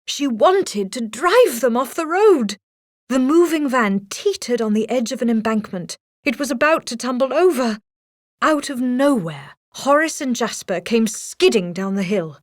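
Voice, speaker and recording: A woman narrating a children's read-along storybook in an expressive, dramatic storytelling manner. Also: a little high, dry recording, studio quality.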